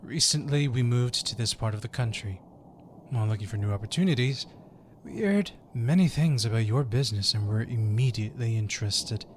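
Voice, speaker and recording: Man acting a composed undercover job applicant, mid-pitched. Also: lively intonation, a little fast, a little hiss or hum, close to an everyday voice. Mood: polite, composed. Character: composed male undercover agent posing as eager job applicant. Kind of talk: audio roleplay acting.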